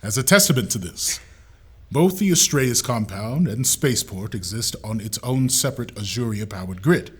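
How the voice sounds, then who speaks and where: An actor playing a businesslike military leader giving a formal, authoritative briefing in a husky voice, in an audio drama. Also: male voice, mid-range voice, animated, moderate pace.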